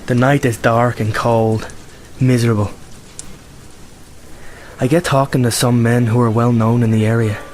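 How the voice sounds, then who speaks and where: An actor with a Northern Irish accent playing an earnest, determined young republican volunteer in a radio play. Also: male voice, medium pitch, little pitch movement, moderate pace, long pauses, a little mellow, a little hiss or hum, lightly acted, rough recording. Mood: grave, resolute. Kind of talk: radio drama monologue and dialogue.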